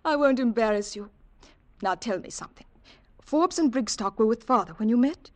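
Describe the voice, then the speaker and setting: A woman's voice: on the high side, lively intonation, moderate pace, natural voice. An actress playing a bold, romantic young society woman in a 1950s radio drama, emotional and forthright. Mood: decisive. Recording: vintage radio drama recording.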